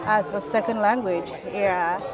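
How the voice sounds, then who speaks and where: A woman from Cameroon answering casually in an interview, pausing and hesitating as she goes. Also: medium pitch, steady tone. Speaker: interviewee, kindergarten English teacher. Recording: distant mic with music and crowd. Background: music and urban crowd.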